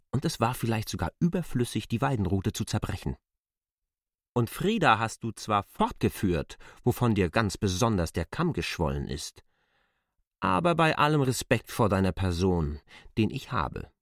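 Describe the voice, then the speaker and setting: Proud master, radio drama, formal, argumentative, threatening, swooping intonation, dry close sound. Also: male voice, fairly low pitch, no echo. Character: proud, domineering, dismissed master threatening his former servant. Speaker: lead actor. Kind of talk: literary radio drama acting.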